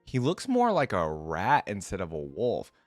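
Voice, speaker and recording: Male reaction host, lowish pitch, conversational off-the-cuff commentary, thinks aloud, very dry recording. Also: huge pitch swings, a little slow, no echo, faint music behind.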